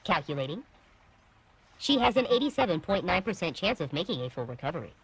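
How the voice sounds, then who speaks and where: A woman voicing a calm, literal, obedient ship's computer in an audio drama, formal and emotionless. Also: fairly low pitch, fast, a little hiss or hum, rough recording. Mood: neutral.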